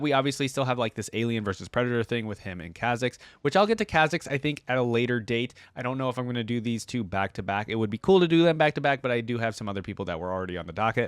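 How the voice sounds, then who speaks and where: A man's voice: lowish voice, smooth, no echo. Man giving casual rambling commentary, dry close sound. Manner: rambling, conversational, frequent "like" and "right?". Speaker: YouTube reaction host. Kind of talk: casual unscripted commentary to viewers.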